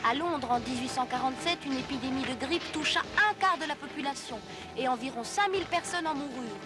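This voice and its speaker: Female narrator, high pitch, scripted storytelling, didactic, educational cartoon, background music. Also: a little fast.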